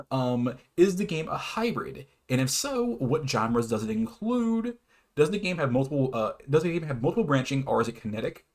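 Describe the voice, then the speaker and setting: A man's voice: mid-range voice, sing-song, moderate pace. Hesitant man giving an informal explainer. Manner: hesitant, stumbles over words and self-corrects; chuckles often between phrases. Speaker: YouTube host presenting his own definitions. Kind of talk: informal explainer, half read, half ad-libbed.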